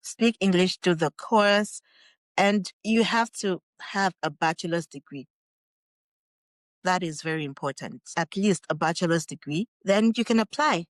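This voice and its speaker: A woman's voice: lowish voice, measured pace, dry recording. Nigerian woman, dry close podcast mic. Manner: laughs often, relaxed storytelling. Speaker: guest, English teacher in Japan. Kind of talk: casual podcast interview.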